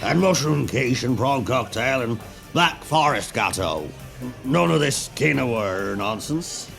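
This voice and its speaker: A man playing a grumbling, deluded older servant with a gravelly voice in an audio pantomime. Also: mid-range voice, lively intonation, slow, fairly bright tone, soft background music, full character voice.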